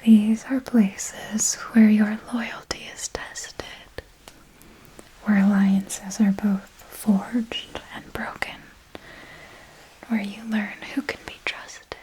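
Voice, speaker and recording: Woman acting a slow, whispering elder vampire mentor. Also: medium pitch, a little mellow, lightly acted, poor audio. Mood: silky, intriguing. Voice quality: breathy whisper. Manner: slow, deliberate, condescendingly affectionate. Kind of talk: whispered ASMR roleplay.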